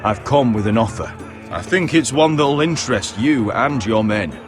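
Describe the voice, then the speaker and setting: Cocky young sellsword played by a man, lively delivery. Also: mid-range voice, normal speed, close to an everyday voice. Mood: smooth. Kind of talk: video game character acting. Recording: music behind the voice.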